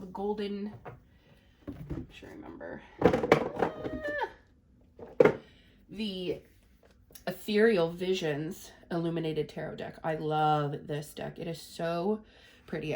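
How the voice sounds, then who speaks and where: A woman's voice: lowish voice, a little mellow, a little hiss or hum. A woman with a stuffy, congested voice from a cold, giving a casual, rambling tarot reading to her viewers with lots of fillers. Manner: chatty, rambling, many "you know" and "um" fillers. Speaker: tarot reader.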